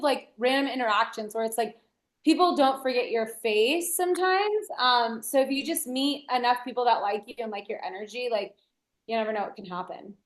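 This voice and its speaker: A woman's voice: high voice, roomy sound. A young woman, raised speaking Portuguese first, chatting upbeat as a podcast guest with lots of "like" fillers. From United States, Brazilian family. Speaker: guest, DJ and entrepreneur. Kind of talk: casual interview chat.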